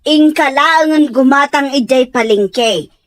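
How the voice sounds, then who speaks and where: A woman plays a fish seller in an Ilocano radio drama. Her voice is high and slow-paced, with an Ilocano accent, recorded dry. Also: no room sound. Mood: coaxing, sales patter. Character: hawker woman selling fresh fish.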